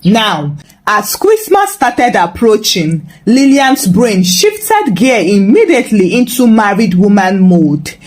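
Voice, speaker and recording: A woman with a Nigerian accent narrating a scripted folktale in an expressive, humorously dramatic way. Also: medium pitch, takes it slowly. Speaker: storyteller, narrator.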